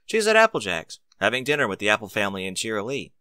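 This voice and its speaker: A man's voice: lowish voice, very animated, fast talker, pushed and bright, clean tone, no echo, clean studio sound. A man voicing a young male dragon who is eager to please and awkwardly bashful, in a storybook reading. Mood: eager, polite.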